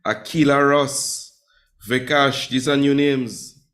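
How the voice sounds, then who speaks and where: A man's voice: mid-range voice, steady tone, takes it slowly, a bit bright. A man teaching a live online Spanish class, chatty and teasing with his students.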